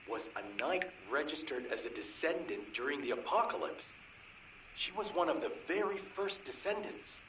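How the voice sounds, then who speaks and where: A serious, learned male researcher explains things in measured tones. His mid-pitched voice sounds echoey and distant, as over comms. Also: a bit bright. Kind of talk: scripted video game dialogue. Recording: echoey, distant, faintly noisy.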